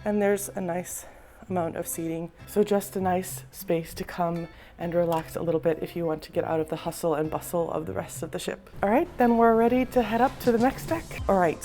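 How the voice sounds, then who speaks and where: Vlogger, woman, husky sore-throat voice, easygoing tour-guide delivery, faint music under. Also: medium pitch. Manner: relaxed, explanatory, frequent ums. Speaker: cruise vlogger giving a ship tour. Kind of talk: casual walking tour narration. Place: indoors, moving through a cruise ship.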